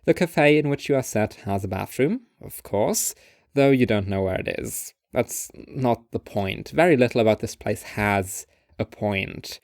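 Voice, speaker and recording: A man's voice: lowish voice, a little slow, darkish tone, no echo, clean studio sound. A man reading a scripted second-person story as its narrator.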